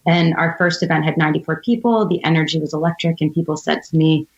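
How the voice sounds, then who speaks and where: A woman's voice: low-pitched, fast talker. A woman speaking as an interview guest, telling stories and giving advice in an earnest, articulate, emphatic way. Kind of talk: conversational storytelling and advice. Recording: dull, band-limited audio.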